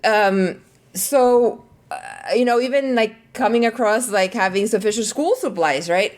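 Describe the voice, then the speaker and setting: A woman's voice: a little high, measured pace. A middle-aged woman from El Salvador, a marketing leader, answering podcast interview questions in an earnest, reflective way with frequent fillers.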